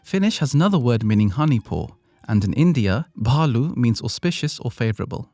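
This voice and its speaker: British male podcast host, scripted and playful, with a gravelly edge at a mid pitch. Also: sing-song, brisk, a little mellow, no room sound, clean studio sound, soft background music. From Britain. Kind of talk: scripted educational narration.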